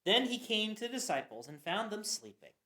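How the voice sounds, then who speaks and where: A woman's voice: medium pitch, very animated, fairly quick, noisy background. A woman narrating scripture for a church passion play reading. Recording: roomy echo.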